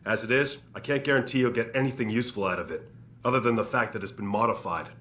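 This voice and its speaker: A man's voice: mid-range voice, quick pace, fairly bright tone, a little hiss or hum, close to an everyday voice. A man voicing a weary male coroner in a game, talking in a dry, offhand way.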